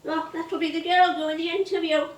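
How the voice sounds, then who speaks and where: An actress playing a forgetful, gentle woman of seventy-six, an old music hall performer who dithers and repeats herself in a stage play. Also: very high-pitched, little pitch movement, normal speed. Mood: excited, hopeful. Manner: dithering, repeats words, chuckly. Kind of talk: naturalistic stage play acting. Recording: roomy, distant stage recording.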